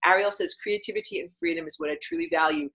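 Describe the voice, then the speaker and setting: A woman in her thirties hosting a live online lesson from a small room, reading out viewer comments, animated and talking with her hands, with sudden loud bursts. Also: medium pitch, quick pace, gravelly. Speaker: online class host. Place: small indoor room with a patterned wall hanging.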